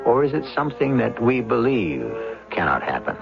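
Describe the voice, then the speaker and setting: A muffled old radio recording with faint music carries an American man as host. His gravelly voice rises and falls widely in portentous, theatrical narration with deliberate pauses. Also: mid-range voice, sing-song.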